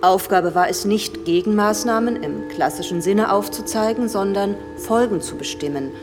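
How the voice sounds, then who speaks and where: A woman playing a composed professor who gives a formal, measured, didactic briefing in a radio drama. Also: medium pitch, animated, music in the background, close to an everyday voice. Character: composed female professor briefing government ministers.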